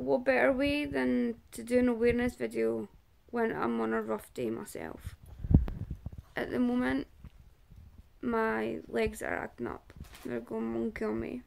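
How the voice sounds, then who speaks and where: A Scottish woman speaks slowly and haltingly, often breaking off mid-phrase to find the next word. Her voice sits fairly high, with a gravelly, creaky edge, and she addresses the viewer in a personal to-camera talk. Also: darkish tone, rough recording. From Scotland. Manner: halting, searching for words with long pauses. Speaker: awareness vlogger and support group organiser.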